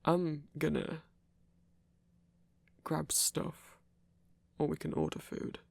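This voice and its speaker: Guy doing a grumpy werewolf boyfriend, gravelly n husky, scolds you but cares, asmr roleplay, super dry close sound. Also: on the high side, flat delivery, takes it slowly, dry recording, heavily acted. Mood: grumbling, caring. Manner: grumbling, scolding yet caring. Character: grumpy but caring male werewolf, protective romantic partner. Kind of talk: intimate ASMR roleplay acting.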